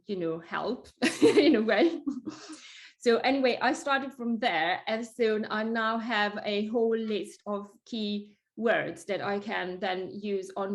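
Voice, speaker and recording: A woman whose first language is Italian, talking on a video call as a guest interior designer, chatty and full of fillers and restarts. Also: medium pitch. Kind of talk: casual video call chat.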